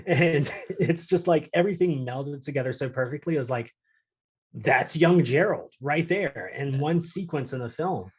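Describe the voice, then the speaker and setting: A man's voice: fairly high pitch, unhurried, breathy edge. A man co-hosting a casual chat, talking in an easygoing, reflective way. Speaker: co-host of a gaming chat show.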